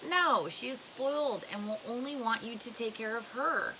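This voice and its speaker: A woman's voice: a little high, moderate pace, noisy background, close to an everyday voice. A woman reading a storybook as a blunt, scornful old woman who gives emphatic advice. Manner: emphatic, questioning, instructive.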